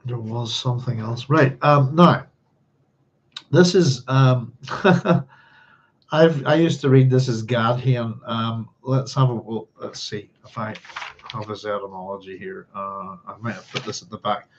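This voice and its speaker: A man with a Northern Irish accent and a slightly husky, mid-pitched voice rambles through an informal read-along of a novel. He often thinks aloud as he goes. The recording sounds a little dull and lo-fi. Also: fairly even intonation, unhurried. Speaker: book club host.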